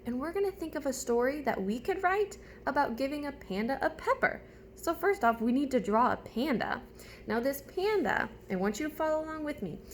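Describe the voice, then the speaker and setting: A woman's voice: high voice, expressive, a little fast, slight background noise. A woman teacher reading a storybook aloud to young children, chatting to them directly with simple questions and step-by-step prompts.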